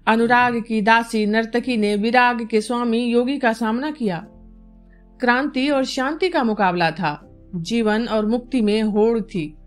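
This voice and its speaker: A woman narrates a novel in a measured, formal literary manner. Her voice sits on the high side, and instrumental music plays faintly behind her. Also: fairly even intonation. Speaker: audiobook narrator.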